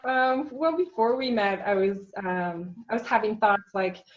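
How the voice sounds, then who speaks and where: A woman talks at an ordinary pitch as a podcast guest, telling a long story earnestly. She rambles with "um" and "you know" along the way. The recording sounds a little dull and lo-fi. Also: expressive, unhurried. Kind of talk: casual interview chat.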